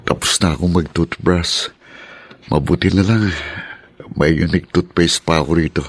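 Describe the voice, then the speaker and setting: A man's voice: low-pitched, steady tone, normal speed, no echo. An actor playing a lovesick young man who muses aloud to himself in a radio drama. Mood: wistful. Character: lovesick young man missing his girl.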